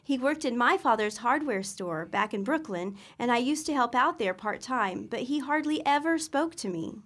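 A woman's voice: on the high side, lively intonation, normal speed, a bit bright. A woman playing a fretful but caring middle-aged mother in a radio comedy, pleading earnestly. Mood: soothing. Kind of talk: old-time radio situation comedy acting.

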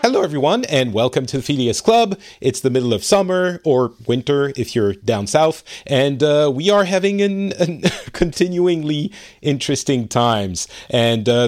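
A man hosts a podcast interview in a relaxed, chatty way, often pausing on fillers and laughing lightly. His voice sits at a middle pitch for a man. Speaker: podcast host. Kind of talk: casual interview chat. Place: home studio in Finland.

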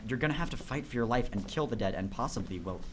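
A man's voice: medium pitch, expressive, quick pace, noisy background, close to an everyday voice. A man acting in an audio drama as an earnest, nerdy teenage zombie enthusiast with a wordy, grandiose way of talking.

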